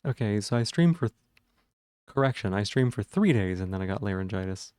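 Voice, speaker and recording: Gravelly-voiced man chatting on stream. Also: on the low side, expressive, fairly quick, a little mellow, no room sound, studio quality. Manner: rambling, thinks aloud while checking things. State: sore throat. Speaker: livestreamer. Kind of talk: casual livestream chat with viewers.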